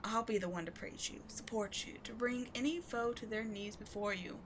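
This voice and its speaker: A woman playing an obsessive, devoted villain in a dramatic ASMR roleplay, speaking with theatrical intensity that moves between forceful and hushed. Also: a little high, normal speed, noisy background, lightly acted. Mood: fervent, vengeful. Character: obsessive, devoted female villain wooing a wounded sidekick.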